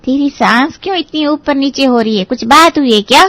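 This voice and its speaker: A woman's voice: high voice, brisk, a bit bright, dry recording. A woman playing an affectionate, concerned older aunt in a Hindi audio story drama. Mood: tender concern.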